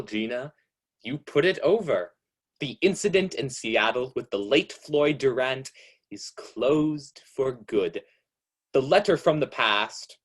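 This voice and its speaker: A man performs an ominous male narrator from an old-time radio mystery. His voice is mid-pitched and a little husky. He taunts his guilty listener with sardonic, deliberate accusation.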